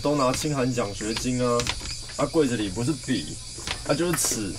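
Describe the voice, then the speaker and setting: A man's voice: mid-range voice, normal speed, lightly acted. An actor playing a brash young male sidekick at school in a radio drama. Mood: teasing. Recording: noisy ambience behind.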